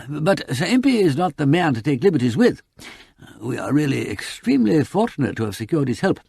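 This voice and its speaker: Male narrator as old-fashioned English family lawyer, elderly, formal and halting, gravelly, with wide swings of pitch. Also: very animated, darkish tone, no room sound, clean studio sound, heavily acted. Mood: pleased, reverent. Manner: hesitant, precise, throat-clearing, formal.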